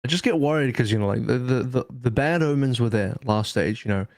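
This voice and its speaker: A man's voice: medium pitch, a little fast, a little mellow, no echo. A man on an esports podcast panel, giving casual, sweary opinions and banter. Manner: sweary, informal.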